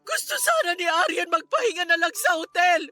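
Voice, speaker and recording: A woman's voice: extremely high pitch, very animated, brisk, pushed and bright, full character voice. A woman playing a grieving, bitter middle-aged mother in an emotional, accusatory Filipino radio drama style. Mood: anguished accusing.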